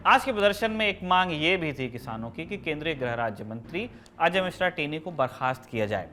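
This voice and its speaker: A man's voice: fairly high pitch, bright, forceful tone. A man presenting scripted news as the host of a show.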